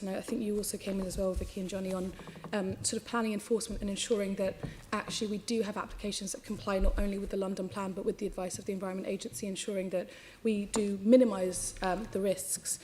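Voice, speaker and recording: A woman assembly member with a slightly croaky voice, putting long, winding questions to a panel. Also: mid-range voice, warm tone, a little hiss or hum. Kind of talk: committee questioning.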